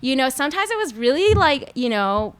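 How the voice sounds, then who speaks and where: A young woman talking in a casual interview, chatty and animated, full of filler words. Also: high voice, fairly quick, clean tone. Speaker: guest, food creator.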